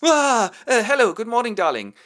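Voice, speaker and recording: A man voicing a panicky young bride in a comic play retelling, with high shrieking outbursts. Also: big pitch swings, moderate pace, fairly bright tone, exaggerated voice. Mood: panicked.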